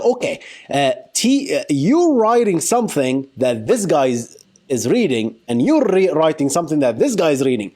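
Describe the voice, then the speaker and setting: Animated man teaching, pitch swinging freely. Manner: animated, playful, colloquial, thinks aloud with exclamations like boom. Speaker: software engineering instructor. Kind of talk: informal screen-demo tutorial, talking while typing.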